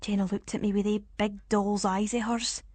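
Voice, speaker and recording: A woman with a Scottish accent narrating a first-person ghost story in a suspenseful, expressive way. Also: medium pitch, normal speed, dry recording.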